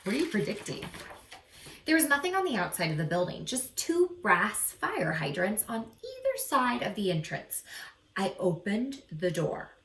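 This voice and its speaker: A woman reading a picture book aloud to young listeners in an expressive, teacherly way, with dramatic pauses. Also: medium pitch, slight background noise. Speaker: read-aloud storyteller. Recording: echoey room, slightly distant mic.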